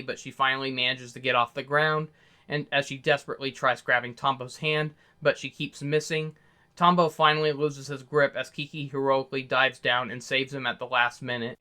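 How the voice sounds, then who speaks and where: A man's voice: medium pitch, sharp tone, smooth. A man narrating a scripted video review as the reviewer, in an earnest, opinionated manner.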